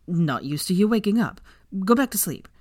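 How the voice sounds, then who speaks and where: A woman's voice: medium pitch, lively intonation, normal speed, darkish tone, dry recording, studio quality, natural voice. A woman voicing a restless young man, sheepish and apologetic toward his friend.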